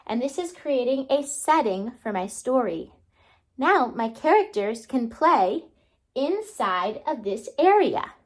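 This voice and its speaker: A woman's voice: high voice, sing-song, slow pace. A woman teacher giving a casual how-to lesson for children at home, explaining simply and often checking in with questions. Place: indoors at home.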